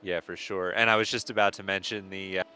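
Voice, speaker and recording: A man commentating a sim race on a live stream, friendly and halting, with many fillers as he runs down the standings. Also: low voice, steady tone, bright, forceful tone, a little hiss or hum, poor audio.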